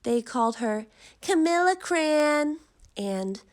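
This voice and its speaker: A woman's voice: sits high, expressive, slow pace. A woman storyteller reading a children's picture book aloud with expression.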